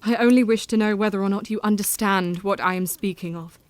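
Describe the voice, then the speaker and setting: A woman's voice: medium pitch, a little fast, no room sound, natural voice. A woman playing a frank, troubled but resolute Victorian wife in an audio drama, speaking formally and earnestly.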